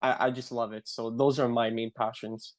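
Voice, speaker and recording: A man's voice: medium pitch, moderate pace. Laid-back young Canadian man. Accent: Canadian English. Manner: relaxed, filler-heavy, says "like" often. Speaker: guest. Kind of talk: casual interview.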